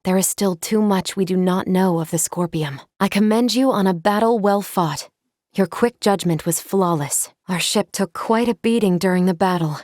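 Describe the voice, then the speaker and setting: A woman voicing a composed, dutiful android crew member in a video game, speaking in a measured, formal, precise way. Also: medium pitch, a little mellow, no room sound, clean studio sound, lightly acted. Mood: calm, measured. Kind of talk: scripted video game character lines.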